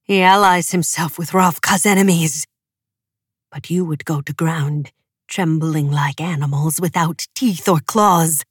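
A woman's voice: sits low, a little slow, slightly rough, no room sound, clean studio sound. A woman voicing a ruthless male preacher from a storybook, declaiming firm and commanding.

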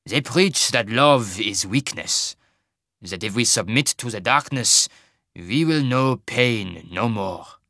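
A man voicing a wide, fearful male wagon master who speaks slowly and gravely in a thick foreign accent. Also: mid-range voice, animated, dry recording, clean studio sound, full character voice. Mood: grave warning. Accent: foreign, thick (put on). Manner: slow, grave, hushed warning.

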